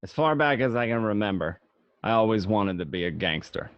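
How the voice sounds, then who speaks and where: A man's voice: lowish voice, animated, a little fast, clear, resonant tone, no room sound, highs cut off, lightly acted. A man playing a gangster who looks back on his youth, narrating in a reflective, matter-of-fact way for an amateur radio drama. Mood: nostalgic, proud.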